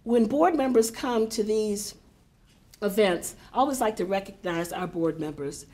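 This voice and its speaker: An older woman directing a poetry center, giving chatty welcoming remarks with a big voice and many fillers to a live audience in a university hall. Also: mid-range voice. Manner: warm, chatty, unhurried with frequent fillers.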